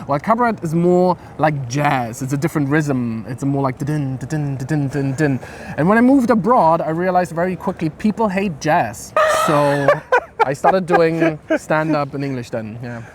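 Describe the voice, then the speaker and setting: A man's voice: fairly high pitch, animated, moderate pace. A man from East Berlin, chatting casually and jokingly as a comedian being interviewed. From East Berlin, Germany. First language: German. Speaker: stand-up comedian, guest. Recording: clear outdoor city traffic noise.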